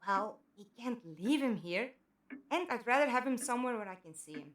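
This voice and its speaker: Woman acting as composed leader, expressive higher voice. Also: fairly quick, slight background noise. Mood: cautious, measured. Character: composed, cautious woman leader of amnesiac captives. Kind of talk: fan audio drama acting.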